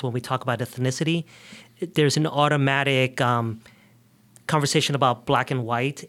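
A man from the United States speaking as an interview guest, explaining things earnestly in conversational, somewhat halting phrases. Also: medium pitch, fairly bright tone. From United States, grew up in New York and the South. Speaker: immigration justice advocate, interview guest.

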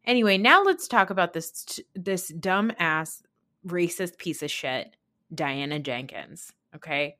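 Woman in her thirties, lowish pitch, casual recap commentary, blunt, opinionated, sweary, emphatic podcast host. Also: animated, unhurried, bright, forceful tone. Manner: emphatic, mimics others when quoting.

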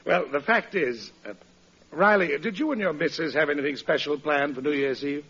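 An American man in middle age playing a polite, flustered company boss in a classic radio sitcom, speaking haltingly. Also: fairly high pitch, fairly bright tone, breathy edge. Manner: hesitant, halting. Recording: muffled vintage radio recording.

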